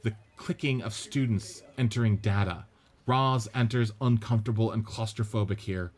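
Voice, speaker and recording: Low-voiced man reading stage directions. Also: moderate pace. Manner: steady, matter-of-fact. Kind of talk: reading a script aloud.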